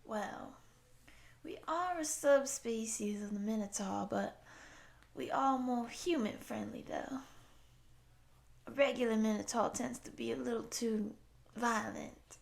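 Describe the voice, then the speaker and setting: A woman voicing a tall, gentle, friendly cowgirl monster in her thirties, soft, affectionate and apologetic, in an intimate audio roleplay. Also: fairly high pitch, a little slow, vocal fry, pauses often. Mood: friendly, explaining. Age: 30s. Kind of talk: intimate roleplay addressed to the listener.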